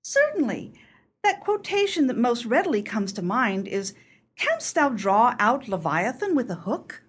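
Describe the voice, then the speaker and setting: Husky, flustered, prim clubwoman. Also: on the high side, sing-song, measured pace, full character voice. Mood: earnest, prim. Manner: earnest, prim, bookish. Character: flustered, prim clubwoman clinging to her quotation book. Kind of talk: radio play acting.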